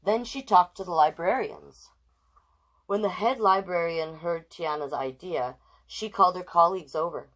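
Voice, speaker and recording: A woman's voice: fairly low pitch, normal speed, rough recording. A woman hosting a storytime, reading a children's picture book expressively with chatty asides to her viewers. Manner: expressive, chatty, addresses live viewers between passages. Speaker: storytime host and drag king reader.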